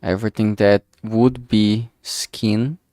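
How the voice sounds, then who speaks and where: The man you'd hear on a late art livestream, close and dry on the mic, murmuring his steps in a lowish voice as he draws. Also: level tone, dark tone, dry recording. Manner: halting, self-talking, mutters to himself. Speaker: streamer making visual novel art. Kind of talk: casual thinking aloud while drawing on stream.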